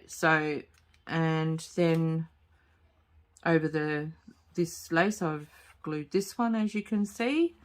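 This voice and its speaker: Slow, croaky woman crafting at home. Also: low-pitched. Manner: hesitant, many fillers, soft-spoken. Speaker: crafting channel host. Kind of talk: casual craft tutorial. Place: home craft table, late at night.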